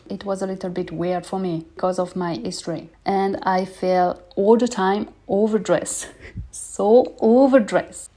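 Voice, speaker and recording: French woman, 30s, podcast host, earnest non-native English, mellow tone. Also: medium pitch. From France. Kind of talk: personal podcast monologue.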